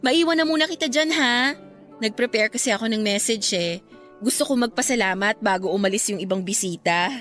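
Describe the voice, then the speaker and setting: A woman's voice: medium pitch, a little fast, fairly bright tone, soft background music, close to an everyday voice. A woman playing a grateful, wistful young woman in a Filipino radio drama, speaking with a Filipino accent. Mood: grateful, warm. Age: young adult. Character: grateful, wistful young woman, newly graduated, missing her late love.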